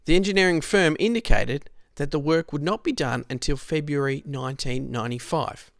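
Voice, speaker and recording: An Australian man reading a scripted historical narration in a measured, explanatory way. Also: on the high side, vocal fry, dry recording, clean studio sound.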